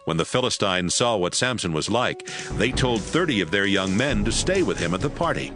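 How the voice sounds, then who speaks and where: A man narrating a Bible story in a steady, storytelling read. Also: on the low side, moderate pace, music in the background. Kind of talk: scripted scripture narration.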